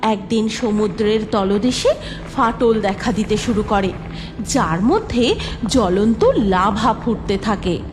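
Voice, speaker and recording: A woman narrating a cartoon fairy tale in a measured storytelling way. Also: mid-range voice, lively intonation, noisy background.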